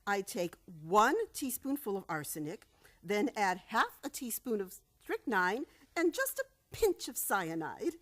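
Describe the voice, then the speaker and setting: A woman's voice: medium pitch, very animated, a little slow. A woman playing a kindly, cheerfully dotty elderly aunt in a live radio play, fussy and genteel. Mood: cheerfully proud. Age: older. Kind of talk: live old-time radio play acting.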